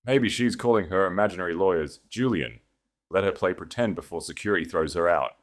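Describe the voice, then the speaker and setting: A man's voice: low voice, brisk, close to an everyday voice. A man voicing a smug, venomous mistress with a condescending, mocking manner.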